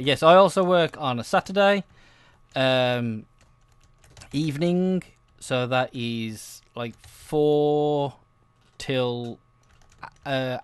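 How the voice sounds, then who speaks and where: Slow-paced, rambling man chatting over gameplay. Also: on the high side, a bit bright, clean tone, no room sound. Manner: hesitant, meandering, self-interrupting, chuckly. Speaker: gaming video host. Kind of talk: casual rambling gameplay commentary.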